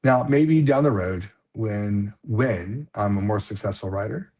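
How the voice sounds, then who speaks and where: A man's voice: lowish voice, measured pace, a little mellow. A man who writes books, chatting casually as a guest on a live stream, rambling with many fillers and restarts and a slight lisp. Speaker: author guest.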